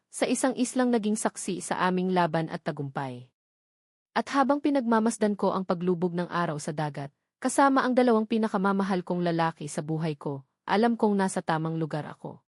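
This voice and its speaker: A woman's voice: medium pitch, a little mellow, dry recording. Woman narrating sentimental stories, dry studio sound. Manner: earnest, sentimental, measured reading. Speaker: story narrator. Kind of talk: scripted dramatic story narration in first person.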